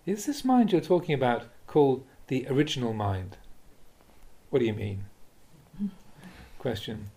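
A man, a Buddhist monk, reading spiritual teachings aloud in a measured, deliberate, instructive way, like an audiobook of a talk. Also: mid-range voice, lively intonation, mellow. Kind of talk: audiobook-style reading of a dharma talk with questions and answers.

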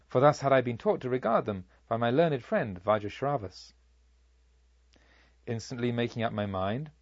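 Man voicing a proud, vengeful robber chief, dramatically animated. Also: medium pitch, no echo, highs cut off. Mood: scornful, resolute. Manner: measured, formal, dramatic storytelling. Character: proud, vengeful robber chief recounting his deeds, baffled, indignant. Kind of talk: audiobook story narration in character.

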